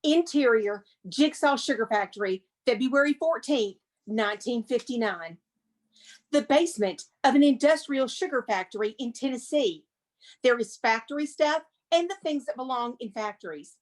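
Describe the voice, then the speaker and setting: A woman's voice: fairly high pitch, expressive, normal speed. A woman presenting as a museum curator and reading a script, with theatrical, emphatic, dramatic narration.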